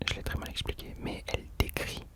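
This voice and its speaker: A young man whispering casual ASMR commentary as a host, hesitantly searching for his words. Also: brisk.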